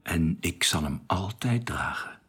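A very breathy, low male murmur plays a devoted lover. He speaks slowly and tenderly, in intimate radio drama style. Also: animated, fairly bright tone, heavily acted.

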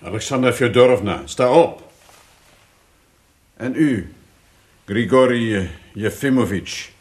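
An actor playing a courteous, authoritative Russian tsar in a radio drama, speaking in a formal, measured manner. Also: male voice, medium pitch, expressive. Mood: grateful, formal.

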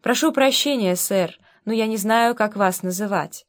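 A woman's voice: mid-range voice, normal speed, natural voice. A woman voicing a shy, polite young British man who speaks hesitantly and deferentially. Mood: puzzled.

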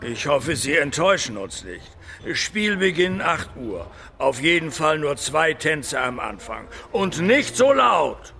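A man's voice: on the high side, very animated, slow, creaky, few pauses, sharp tone, heavily acted. A man playing a brusque, officious club chairman in a radio play, curt and demanding.

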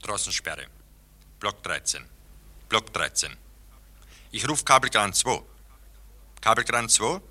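A man's voice: lowish voice, big pitch swings, slow, pauses often, pushed and bright, heavily acted. An actor playing a hearty, plucky construction signalman in a radio drama. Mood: businesslike.

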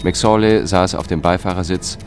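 An actor playing a sober, observant investigator who narrates a radio drama in a measured, matter-of-fact way. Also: male voice, sits low, fairly even intonation, natural voice. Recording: music bed under the voice.

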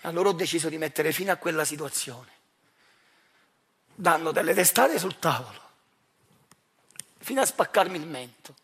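A man with a Neapolitan accent performing a theatrical monologue, speaking straight to the audience in a measured, intense way. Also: on the high side, expressive, a bit bright, husky. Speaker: stage actor.

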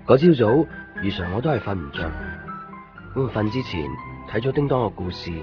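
A man playing a gentle, reflective dog owner who narrates his own story in a radio drama. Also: on the low side, lively intonation, moderate pace, lightly acted. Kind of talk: radio drama dialogue and first-person narration. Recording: muffled lo-fi radio recording, music behind.

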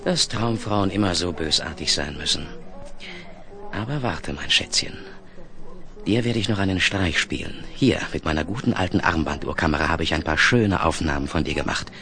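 Deep-voiced, lively young reporter, cocky. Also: male voice, moderate pace, exaggerated voice. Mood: peeved, scheming. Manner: smooth-talking, teasing. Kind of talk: 1970s German radio play acting.

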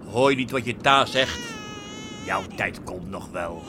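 An actor playing an ailing, gruff fisherman father with a cough in a Dutch radio play. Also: lowish voice, animated, unhurried. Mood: irritated. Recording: noisy old radio play recording.

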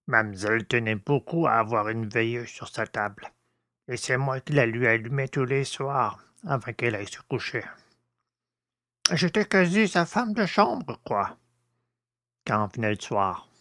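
A man's voice: medium pitch, measured pace, bright, forceful tone, no echo, studio quality. A man playing a fussy, plain-spoken old household servant in an audiobook. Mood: plain, explanatory. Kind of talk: audiobook character dialogue.

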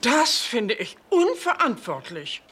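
A woman's voice: a little high, very animated, slow, fairly bright tone, breathy edge, heavily acted. An actress playing a fussy, tactless mother in a film comedy, prim, rambling and full of insinuation. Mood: indignant.

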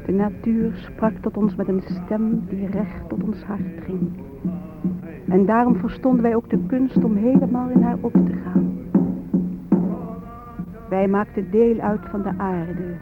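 An actor playing a solemn Native storyteller who recites his people's memory in measured, incantatory verse for a radio play. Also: high-pitched. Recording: tinny phone-like audio under prominent music.

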